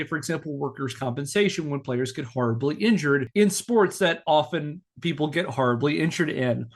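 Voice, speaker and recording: A man hosting a podcast in a conversational, explanatory way with long, wordy sentences. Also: a little high. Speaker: podcast host and researcher.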